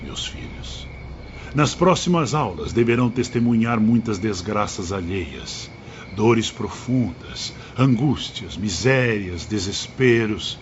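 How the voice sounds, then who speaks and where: Actor as solemn spirit teacher, cavernous reverb. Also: male voice, fairly low pitch. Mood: grave, consoling. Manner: solemn, measured, didactic, fatherly address. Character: solemn wise spirit teacher instructing his disciples. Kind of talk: dramatized audiobook acting. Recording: dull sound, long reverb, background noise.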